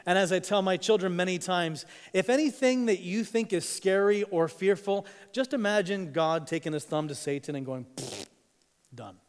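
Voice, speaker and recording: A man who grew up in East Africa, preaching a sermon, swinging between hushed and emphatic delivery with dramatic pauses. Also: high-pitched, smooth. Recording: long hall reverb.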